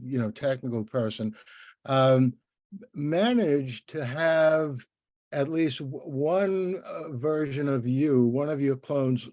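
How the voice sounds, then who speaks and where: Mellow older man, halting interviewer. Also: medium pitch, no room sound. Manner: hesitant, stammering with repeated words. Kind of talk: conversational interview.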